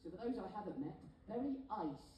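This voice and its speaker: A man's voice: sits high, lively intonation, brisk, recorded from a distance, dull audio, slight background noise. A man with a London accent doing cheeky, joking banter as a stage show host. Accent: London English. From England. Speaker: stage show singer and compere. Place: ship theater. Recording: echoey theater recorded from afar.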